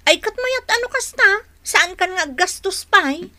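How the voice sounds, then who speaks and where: A woman's voice: extremely high pitch, lively intonation, normal speed, sharp tone, dry recording, exaggerated voice. A woman playing a middle-aged gossiping friend in a radio drama, nosy, inquisitive and insinuating. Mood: nosy, chatty. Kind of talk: Ilocano radio drama acting.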